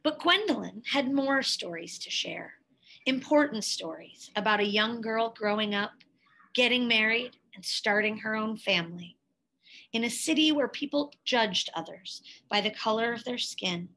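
Woman reading aloud slowly, higher-pitched voice. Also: pushed and bright, low-quality recording. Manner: measured, dramatic pauses and emphasis. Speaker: read-aloud storyteller, teacher. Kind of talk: expressive picture-book read-aloud.

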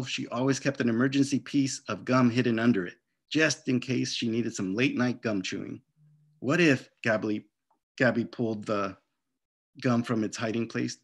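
A man's voice: mid-range voice, husky. A middle-aged man, a children's author, reading expressively and chatting casually over a video call. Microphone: video call microphone.

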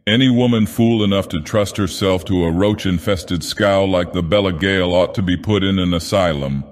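A man voicing a gruff, drunken, superstitious schooner captain in a storybook narration, grumbling and emphatic with fear underneath. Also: sits low, moderate pace, long reverb tail, natural voice. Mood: contemptuous.

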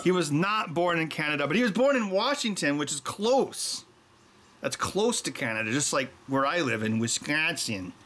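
A man's voice: fairly high pitch, big pitch swings, moderate pace, a bit bright, slight background noise. A middle-aged man at a workbench, explaining his soldering in a chatty way and drifting into joking tangents. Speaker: electronics maker and show host. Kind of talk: casual explanation while working.

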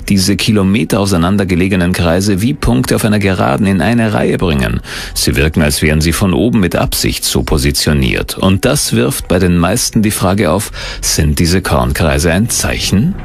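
A man's voice: low voice, animated, moderate pace, vocal fry. A man reading scripted documentary narration in a suspenseful, portentous manner. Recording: music bed behind narration.

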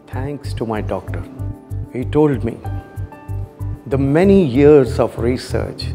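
A man's voice: mid-range voice, creaky, dark tone. Older man over music. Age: 60s. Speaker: patient giving a testimonial. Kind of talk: testimonial to camera. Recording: music under the voice.

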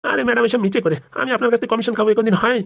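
A woman's voice: fairly high pitch, fairly even intonation, rapid-fire, creaky. A woman voicing a shifty male village lawyer in a comic cartoon, defensive, pleading and deferential.

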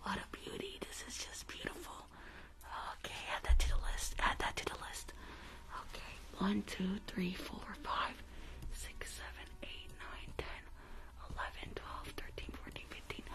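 A woman playing a rude, impatient, crooked dentist in a whispered ASMR roleplay, muttering bossy, repeated orders in a breathy voice. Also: medium pitch, expressive, moderate pace, rough recording. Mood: greedy delight. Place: small indoor room, livestream setup.

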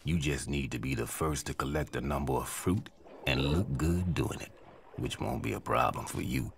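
A man playing a smooth, vain, flirtatious big hippo in a video game, crooning and boasting suavely. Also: rumbling low, normal speed, a little hiss or hum. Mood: boastful flirting. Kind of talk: video game character acting.

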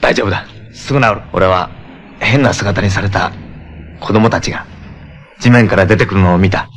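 A man's voice: sits low, normal speed, slight background noise. A young man acting as a fierce, earnest jungle-raised superhero in a 1970s Japanese television action drama, intense and terse. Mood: strained, resolute. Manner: intense, terse, breaking into battle cries. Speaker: lead actor in a superhero television series. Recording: 1970s television soundtrack with music. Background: dramatic background music.

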